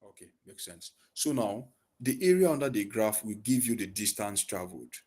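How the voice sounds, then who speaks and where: A man runs an online physics lesson through a headset on a video call. His voice sits fairly low, and he quizzes his students as he goes, answering the questions himself. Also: expressive, moderate pace, darkish tone. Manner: asks leading questions and fills in the answers. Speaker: physics tutor.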